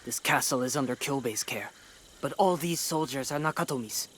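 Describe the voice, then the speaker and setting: A man's voice: medium pitch, normal speed, over music, natural voice. A man playing a wary warrior ally on a mission in a video game, terse and serious. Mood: suspicious. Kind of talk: video game cutscene acting. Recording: some ambient background noise.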